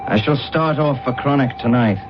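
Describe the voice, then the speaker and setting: An actor playing a cold, emotionless humanlike alien in a radio drama, speaking in a formal, deliberate, detached way. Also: male voice, medium pitch, close to an everyday voice. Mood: cold, resolute. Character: cold, emotionless humanlike alien man bent on invading Earth. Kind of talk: radio drama acting with first-person narration. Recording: muffled radio drama recording, loud music behind.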